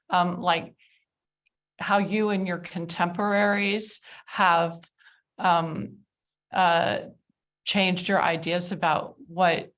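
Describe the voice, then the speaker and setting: Middle-aged woman moderating, low voice. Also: a bit bright. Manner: hesitant, searching with fillers. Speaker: moderator of a webinar series. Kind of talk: moderating a panel discussion. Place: home room indoors. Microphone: laptop webcam video call.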